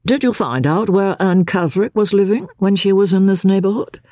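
A woman voicing a courteous, insistent gentleman baronet in formal, persuasive speech for a dramatic audiobook. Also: mid-range voice, a little fast, no room sound, pitched above the performer's own voice, close to an everyday voice. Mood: probing, polite. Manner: formal, elaborately polite, persuasive.

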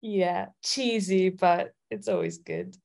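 A woman from Italy with a slightly low-pitched voice, talking as a guest in a casual interview. She is chatty and giggly, with somewhat hesitant phrasing. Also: huge pitch swings, slow, a little mellow. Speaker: interview guest, singer-songwriter.

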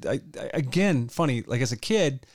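A man's voice: mid-range voice, expressive, normal speed, no room sound, clean studio sound. A man co-hosting a casual movie podcast, laid-back and chatty, peppering his talk with "man" and "dude". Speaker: podcast co-host.

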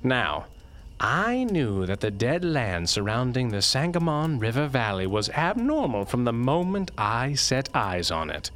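An actor playing an eccentric, coldly gleeful doctor in an audio drama, with theatrical, clinical, wordy delivery. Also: male voice, medium pitch, very animated, unhurried, a bit bright, a little hiss or hum. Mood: ominous, brooding. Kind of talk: audio drama acting, storytelling monologue.

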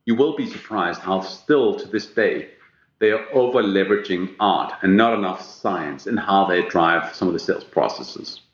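A Danish-born man speaks as an executive guest, his voice sitting fairly low. He leans into key words with earnest emphasis. The sound comes through an echoey, muffled, lo-fi line. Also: moderate pace. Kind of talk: business podcast interview.

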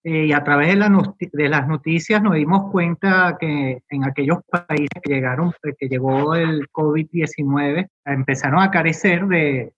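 A man's voice: a little high, normal speed, hardly any pauses, a bit bright, slightly rough. Muffled Venezuelan man in his forties. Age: 40s. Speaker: garment maker. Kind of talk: informal interview answers. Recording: dull lo-fi voice recording.

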